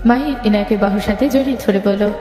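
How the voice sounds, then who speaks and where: A woman's voice: mid-range voice, quick pace, mellow. Woman narrating a story with heavy echo. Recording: echoey reverberant hall, music underneath.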